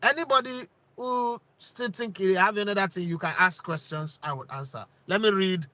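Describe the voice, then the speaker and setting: A man in his forties from Nigeria with a Nigerian accent, hosting his sports channel with lively rhetorical questions and bits of Pidgin. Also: sits high, normal speed, no echo. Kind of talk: opinionated talk to camera.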